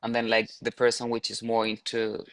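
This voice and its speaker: A man's voice: mid-range voice, monotone, a little fast, a bit bright, dry recording. Chilean-accented man chatting, close and dry. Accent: Chilean Spanish. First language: Spanish. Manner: rambling, frequent "like". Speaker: postgraduate alumnus. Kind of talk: casual webinar chat.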